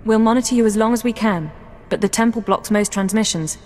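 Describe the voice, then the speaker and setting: A woman's voice: mid-range voice, a little fast, a little mellow, close to an everyday voice. Woman acting an earnest analyst in a reverberant hall. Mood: earnest, composed. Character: earnest, composed female intelligence analyst. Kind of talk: video game cutscene acting. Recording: reverberant hall, faint music underneath.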